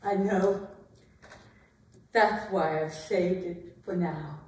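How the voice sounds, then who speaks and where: A woman's voice: lowish voice, steady tone, extremely slow, darkish tone. Woman playing a sardonic queen, echoing and distant. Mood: wounded, wistful. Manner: theatrical, dry, pointed. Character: proud, sardonic, wounded queen sparring with husband. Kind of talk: staged dramatic reading of a play. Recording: roomy, echoing hall, distant microphone.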